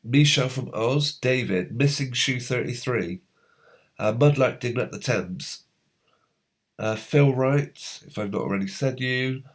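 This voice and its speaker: A man hosting a live stream, reading out viewers' comments in a halting, rambling way, his voice going hoarse as a cold comes on. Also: medium pitch. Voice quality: slightly hoarse. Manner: rambling, halting, many fillers.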